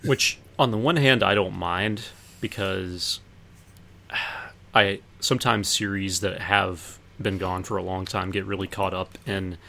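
Male podcast co-host with a deep voice and an informal, conversational delivery. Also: fairly even intonation, unhurried, vocal fry, sharp tone. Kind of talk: casual chat about anime.

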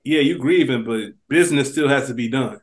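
A man co-hosting a casual podcast, making quick side points about a scene and repeating himself as he goes. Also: medium pitch. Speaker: podcast co-host. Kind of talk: casual TV recap chat.

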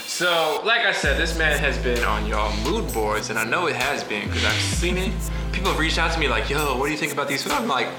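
Male host, slangy and playful interviewer, animated storyteller, echoey room with music behind. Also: medium pitch, brisk. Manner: animated storytelling, teasing, quotes people in slangy talk. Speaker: podcast host interviewing a friend. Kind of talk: casual bantering chat with slang. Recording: roomy echo, loud music behind.

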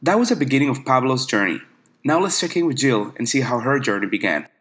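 A man's voice: mid-range voice, expressive, quick pace, fairly bright tone. Young male podcast host narrating. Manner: clear narrating with friendly back-channel agreement. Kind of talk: scripted narration and casual chat.

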